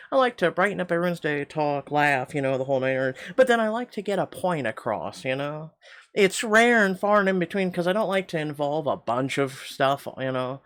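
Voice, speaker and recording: A man's voice: fairly high pitch, fairly bright tone. A middle-aged man with a slightly tight throat, reading a story game aloud and rambling with wry asides and many fillers. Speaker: game channel host.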